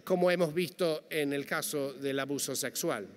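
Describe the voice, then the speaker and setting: A man giving a prepared, measured talk as a panel speaker, reading carefully and quoting passages, speaking Spanish as a to a conference audience. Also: on the high side. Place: large conference hall. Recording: long hall reverb, faint background noise.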